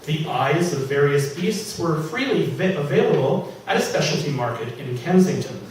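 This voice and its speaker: A man's voice: fairly high pitch, animated, normal speed. A man, an author giving a theatrical, emphatic live reading of comic fantasy fiction. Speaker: author reading from own novel. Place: public reading at an indoor venue. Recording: echoey room from far off, faint noise.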